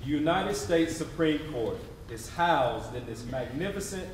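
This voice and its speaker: A man narrating a scripted readers theatre piece in a deliberate, emphatic storytelling way. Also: fairly high pitch, lively intonation, a little hiss or hum. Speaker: stage narrator. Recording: very echoey room, distant mic, responsive audience.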